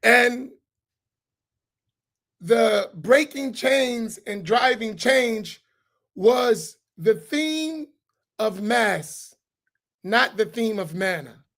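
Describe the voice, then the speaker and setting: A man's voice: extremely high pitch, expressive, very slow, pauses often, a bit bright. A middle-aged man from the United States, an imam speaking on a roundtable panel in an emphatic, preacherly way, often checking in with the audience with rhetorical questions.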